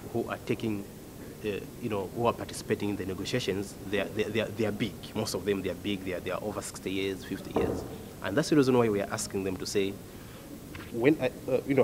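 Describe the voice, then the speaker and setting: A young man speaking as a youth delegate in a documentary interview, earnest and halting, with many fillers. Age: young adult. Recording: noisy background rumble.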